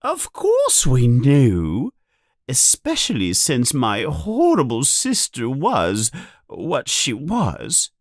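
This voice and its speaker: A man's voice: medium pitch, wildly swooping pitch, takes it slowly, no room sound, clean studio sound, pitched above the performer's own voice, heavily acted. A man voicing a resentful middle-aged aunt in a storybook reading, ranting shrilly and angrily. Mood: bitter, angry.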